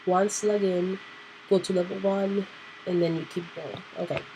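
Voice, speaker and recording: A woman hosting a casual computer tutorial, nasal and clearing her throat because she is sick, thinking aloud with fillers. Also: fairly low pitch, flat delivery, normal speed, warm tone, a little hiss or hum, poor audio. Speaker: tutorial host. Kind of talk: casual screen-recorded tutorial.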